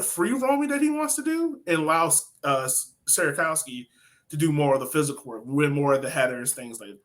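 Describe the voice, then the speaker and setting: Bright-voiced American man, chatty sports podcaster. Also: on the high side. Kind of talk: casual soccer match breakdown.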